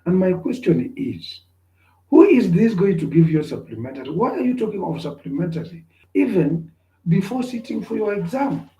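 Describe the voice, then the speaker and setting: A man's voice: sits high, very animated, moderate pace, dark tone, roomy sound, poor audio. A man giving an online class lecture, repeating himself often and checking whether his students can hear him. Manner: repetitive, checks on listeners.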